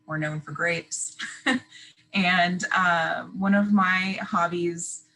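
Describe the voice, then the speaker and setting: Bright-voiced woman advisor on a video call. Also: lowish voice, fairly even intonation, very slow, a little hiss or hum, poor audio. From California. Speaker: academic advisor. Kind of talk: webinar self-introduction.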